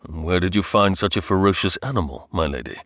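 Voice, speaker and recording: A man voicing a proud, stubborn earl in an audiobook, gruff and drawling, with a teasing side. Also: deep voice, expressive, brisk, fairly bright tone, no echo, clean studio sound. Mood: fond, amused. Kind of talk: audiobook character dialogue.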